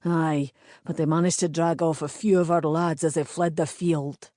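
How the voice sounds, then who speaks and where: A woman doing a gruff wounded Scottish knight. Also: low-pitched, fairly even intonation, normal speed, mellow, dry recording, clean studio sound, natural voice. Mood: grim. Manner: suspicious, snarling. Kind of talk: audiobook character voice.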